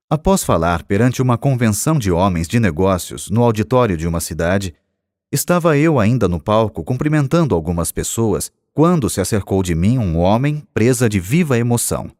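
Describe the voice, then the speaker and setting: A man with a low voice and a Brazilian accent reads scripted audiobook narration. His intonation moves in a lively way, and the delivery stays measured and expressive. The sound is close and dry. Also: no echo.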